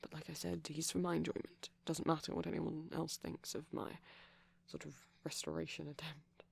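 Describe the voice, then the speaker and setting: A man talks in a hushed ASMR murmur that often drops to a whisper. His voice is breathy and husky with plenty of creak. He sounds gentle and shy, rambling hesitantly close to the mic. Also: on the high side, flat delivery, normal speed. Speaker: ASMR performer. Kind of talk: soft-spoken ASMR roleplay ramble.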